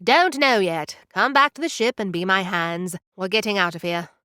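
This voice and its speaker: A woman's voice: medium pitch, normal speed, pushed and bright, smooth, no room sound, clean studio sound, natural voice. A woman playing a curt tiny ship captain. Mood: brusque. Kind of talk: audio drama acting.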